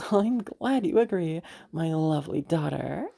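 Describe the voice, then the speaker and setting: Woman acting a husky, domineering, falsely sweet mother. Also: low voice, lively intonation, warm tone, exaggerated voice. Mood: condescending. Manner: condescending, drawn-out, veiled threats. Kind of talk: amateur audio roleplay acting.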